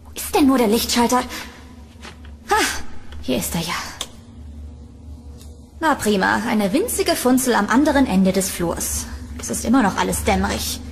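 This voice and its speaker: Young student, played by a woman: high voice, expressive, horror radio play, faint ambience behind. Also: normal speed, vocal fry, pauses often, a little hiss or hum. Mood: puzzled, drowsy. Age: young adult. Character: chatty, upbeat young female student. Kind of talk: German audio horror drama acting.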